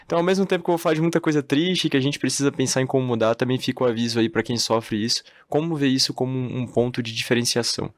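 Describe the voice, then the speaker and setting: A man's voice: mid-range voice, racing, hardly any pauses, dry recording. A young man from the Northeast of Brazil, chatting as a podcast guest about his own life. He talks in a loose storytelling way and laughs easily. The sound is dry and close, with no room around him. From Natal, Northeast Brazil. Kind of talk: casual podcast conversation.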